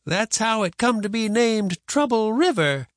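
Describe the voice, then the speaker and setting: A man's voice: sits high, big pitch swings, a little slow, dry recording, clean studio sound, pitched above the performer's own voice. A man voicing a cranky, stubborn old frontier grandmother who grumbles and scolds in folksy rural speech for a storybook. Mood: disgusted, stubborn. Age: older.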